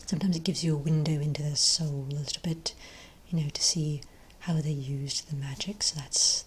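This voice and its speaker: A woman speaking in a soft, breathy near-whisper, playing a friendly magic shop owner in a gentle, rambling ASMR role play. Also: deep voice.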